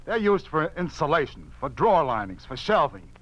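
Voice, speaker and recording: A man's voice: a little high, big pitch swings, moderate pace, a bit bright. A man acting in a 1950s radio drama as a blunt, plain-spoken, exasperated newspaper circulation manager. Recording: dull old 1950s radio recording.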